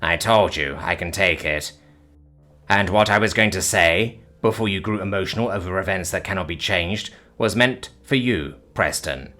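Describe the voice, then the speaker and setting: A man doing a deep, deadpan alien soldier. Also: normal speed, soft background music. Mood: calm, detached. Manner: flat, formal, deadpan delivery. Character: calm armored male alien, blunt, unemotional.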